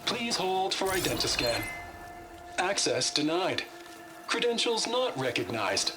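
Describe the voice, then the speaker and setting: A man's voice: high-pitched, very animated, measured pace, loud music behind the voice. An actor playing a polite, officious automated male security announcer in a video game, formal and impersonal. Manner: formal, polished, impersonal courtesy.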